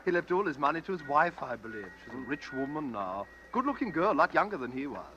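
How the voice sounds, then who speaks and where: Jovial stranger, lively and bright. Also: male voice, fairly high pitch, fast, highs cut off. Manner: affable, chuckling, smoothly inquisitive. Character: jovial, smooth-talking, faintly sinister gentleman stranger. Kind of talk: old-time radio drama acting. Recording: faintly noisy old radio recording.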